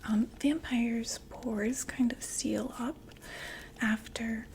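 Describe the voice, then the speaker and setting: A woman playing a polite vampire makeup saleswoman in an ASMR roleplay, whispering softly with gentle, attentive, explanatory patter. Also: on the high side, steady tone, slow, a little hiss or hum. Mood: helpful, soothing. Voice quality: soft breathy whisper.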